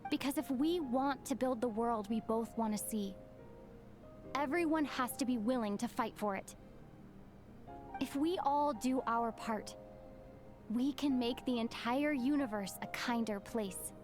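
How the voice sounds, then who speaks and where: A woman's voice: fairly high pitch, measured pace, music in the background, close to an everyday voice. A woman voicing an earnest young traveler.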